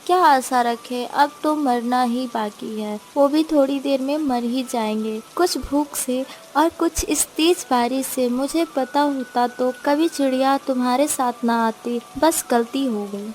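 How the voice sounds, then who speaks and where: A woman's voice: high voice, unhurried, few pauses, a little mellow, a little hiss or hum. A woman voicing a grumpy, selfish female bird in a cartoon story, griping and sarcastic. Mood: bitter, angry.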